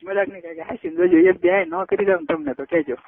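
Young man on a phone line, coaxing and persistent, quick talker with gravelly fry. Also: sits high, wildly swooping pitch. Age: early 20s. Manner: insistent, coaxing. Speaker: caller. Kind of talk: casual private phone chat. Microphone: telephone. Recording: tinny phone call recording.

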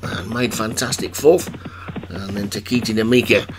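A man commentating a video game horse race for an online league, chatting between calls, his voice getting croaky. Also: on the low side, normal speed, music in the background.

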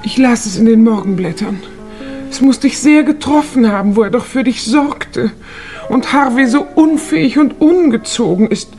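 An actress playing a melodramatic, self-interested society fiancée, affected and gushing, in a 1950s German radio play. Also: female voice, on the high side, normal speed, a little mellow, faint music behind, natural voice. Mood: gushing sympathy.

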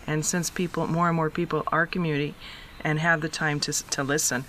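A man with a bright, fairly high voice explains things casually as a show guest. There is faint noise behind him. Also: level tone, a little fast.

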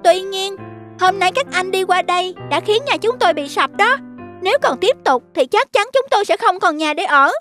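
Woman voicing a very high-pitched earnest little field mouse. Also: expressive, moderate pace, pushed and bright, soft background music, exaggerated voice. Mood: earnest pleading. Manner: polite, earnest, pleading. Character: small earnest field mouse pleading for its home. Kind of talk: cartoon dialogue acting.

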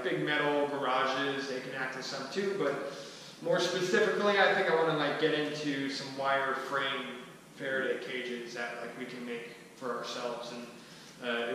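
Far from the microphone in a very echoey hall sounds a man with a bright, forceful voice. He presents casually, pausing and restarting as he thinks aloud. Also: medium pitch, slight background noise. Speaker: presenter at a skillshare. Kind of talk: informal talk to a small group. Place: large wooden-floored hall, indoors. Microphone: distant camera microphone.

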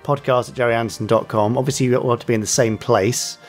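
Male podcast host, casual banter, medium pitch, faint music bed. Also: fairly even intonation, quick pace. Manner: bantering, informal.

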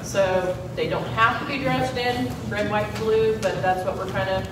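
A woman's voice: mid-range voice, fairly even intonation, unhurried. Chatty woman, distant and echoey. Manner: chatty, informal. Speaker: congregation member. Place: church sanctuary, from the pews. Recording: echoey distant room with loud noise.

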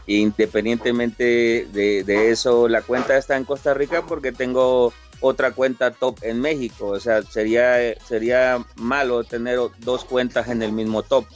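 A man's voice: mid-range voice, steady tone, a little slow, few pauses, faint music behind. A young man with a Mexican Spanish accent, answering interview questions casually and repeating his words as he talks. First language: Italian-born, raised in Mexico. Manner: friendly, repeats words, frequent fillers. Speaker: interview guest, top game player.

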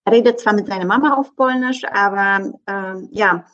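Zögernde Frau mit polnischem Akzent, dumpfer Klang. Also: female voice, medium pitch, normal speed, fairly bright tone, highs cut off. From southeastern Poland. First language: Polish. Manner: hesitant, many fillers. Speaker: guest. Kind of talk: conversational interview.

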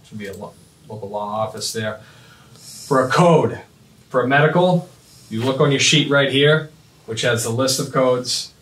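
A man in his thirties giving a step-by-step software demonstration in short, clipped instructional phrases. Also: mid-range voice, normal speed, pauses often. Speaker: fire dispatch trainer. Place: small indoor office at a computer desk. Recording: roomy, echoing, mic at a distance.

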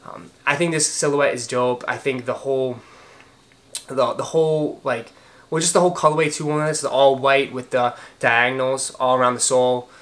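A man's voice: medium pitch, steady tone, normal speed, a bit bright. A man in his thirties from Boston with a Boston accent, talking casually to camera in a room at home, chatty and enthusiastic with many fillers and restarts. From Boston, Massachusetts. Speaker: sneaker vlogger. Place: home room with shoe shelves, indoors.

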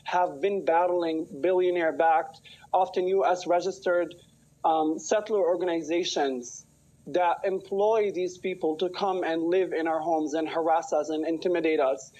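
A man's voice: fairly high pitch, fairly even intonation, moderate pace, darkish tone. A man in his twenties from Palestine, speaking articulately and emphatically as a news interview guest over a video call. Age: 20s. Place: small room at home, indoors. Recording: dull video-call audio.

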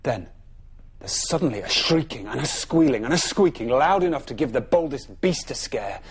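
A man in his thirties with an English accent, telling a bedtime story to young children in an expressive, dramatic way, often dropping soft and low. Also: moderate pace, slightly rough. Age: 30s. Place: television studio.

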